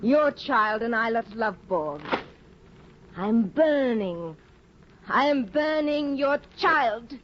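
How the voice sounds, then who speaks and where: Bitter, imperious young wife. Lively pitch, lo-fi 1950s radio drama sound. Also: female voice, slow. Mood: bitter, brooding. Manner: icy, bitter, commanding. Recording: dull lo-fi 1950 radio broadcast with faint hiss.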